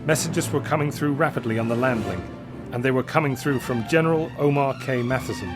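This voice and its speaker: A man narrates an adventure story in a mid-range voice. His delivery is dramatic, deliberate and full of suspense. Music plays clearly behind the reading. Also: medium pitch.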